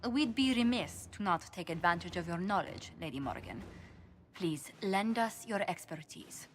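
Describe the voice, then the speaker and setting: A woman's voice: fairly low pitch, lively intonation, moderate pace, slight background noise, natural voice. An actress playing a courteous, diplomatic female advisor in a fantasy video game, with polite and formal delivery. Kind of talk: dramatic video game acting.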